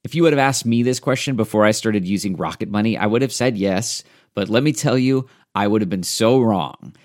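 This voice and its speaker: A man's voice: fairly low pitch, fairly quick, a little mellow. A man reading a sponsor advertisement in a chatty, persuasive testimonial tone. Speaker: ad narrator. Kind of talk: scripted radio ad read.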